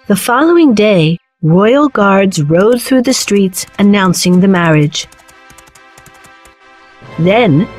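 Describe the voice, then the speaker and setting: A woman narrating a children's fairy tale in a measured, clearly phrased storytelling manner. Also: mid-range voice, a little mellow. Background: background music.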